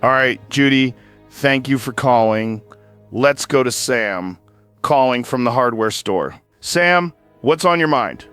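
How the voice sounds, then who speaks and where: An actor playing a male late-night radio host who takes calls in a steady, measured way. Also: medium pitch, clean tone, soft background music. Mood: calm. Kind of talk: audio drama acting.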